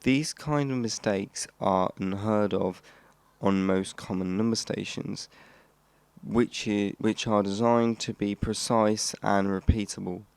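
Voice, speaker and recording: A man's voice: on the low side, steady tone, slow pace, vocal fry, a little mellow, clean tone. A man hosting a hobby explainer, reading scripted narration and now and then stumbling over his words. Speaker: host of a radio hobby channel.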